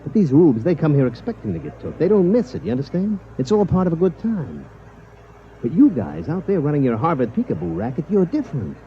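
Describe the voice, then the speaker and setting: A man's voice: fairly high pitch, sing-song, a little fast. An actor playing a streetwise but good-hearted casino tout, with slangy, confiding wise-guy talk in a 1950s radio drama. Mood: confiding, incredulous. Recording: dull, noisy vintage radio recording.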